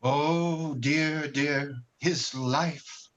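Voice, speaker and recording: An ancient, meek angel-in-training acted by a man from an old-time radio play. The speech is slow, hesitant and eager to please, with great deference. The audio is a little dull, as on a video call. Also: fairly high pitch, steady tone, fairly bright tone. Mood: concerned, attentive. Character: eager, timid, very old apprentice angel, polite. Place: remote video call recording.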